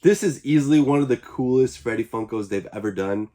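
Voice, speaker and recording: A man's voice: mid-range voice, fairly quick, dark tone. Congested, upbeat young man unboxing collectibles. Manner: upbeat, enthusiastic, chatty. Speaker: YouTube collector channel host. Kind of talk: casual unboxing vlog.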